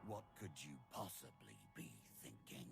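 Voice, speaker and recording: A man playing an ancient, immortal Sith emperor in a video game, with a gravelly, grandiose and menacing delivery. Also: rumbling low, very animated, normal speed, exaggerated voice. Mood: gloating menace. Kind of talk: dramatic video game voice acting. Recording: loud music under the voice.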